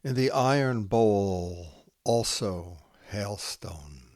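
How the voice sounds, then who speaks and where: Very slow, low-voiced man reciting haiku. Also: animated, a little mellow, no echo, studio quality. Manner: measured, deliberate, short phrases. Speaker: podcast host reading poetry.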